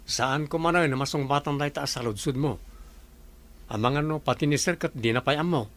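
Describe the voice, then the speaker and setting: A man's voice: a little high, a little fast, fairly bright tone, no echo, natural voice. A man playing a chatty, nosy male employee who defers to his boss in a radio drama. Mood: evasive.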